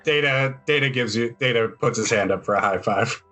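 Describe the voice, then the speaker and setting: A man's voice: mid-range voice, a little fast, fairly bright tone, soft background music. A man casually narrating a tabletop role-playing game as game master and host.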